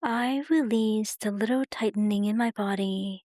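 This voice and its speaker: A woman narrating a guided meditation in slow, soothing, unhurried phrases with gentle pauses. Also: mid-range voice, no echo, studio quality.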